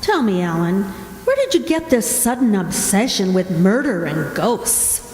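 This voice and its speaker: An actress playing an anxious, suspicious young sweetheart in a radio play, plaintively questioning, in an echoey hall. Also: female voice, mid-range voice, big pitch swings, a little slow, a little mellow. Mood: jealous. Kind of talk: amateur radio play acting. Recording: echoey hall with long reverb.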